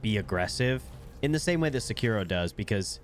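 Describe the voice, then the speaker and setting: A man's voice: lowish voice, lively intonation, brisk, faint music behind. Congested young man, laid-back gaming chat. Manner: laid-back, rambling with fillers. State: congested, nasal. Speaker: gaming video creator. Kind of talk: casual gameplay commentary. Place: at his desk playing video games.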